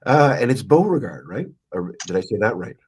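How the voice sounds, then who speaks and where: A man's voice: lowish voice, big pitch swings, brisk, husky. A man hosting a podcast interview, talking in a hesitant way with many fillers and self-corrections. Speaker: podcast host. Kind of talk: interview, reading an introduction.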